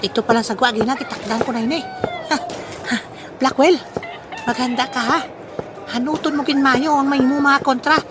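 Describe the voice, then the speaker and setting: A woman's voice: high-pitched, steady tone, moderate pace, pauses often, background noise, close to an everyday voice. A woman with a Western Visayan accent playing a boastful, gleeful cockfight gambler in a comedic audio drama, speaking in exclamations. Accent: Filipino, Western Visayan.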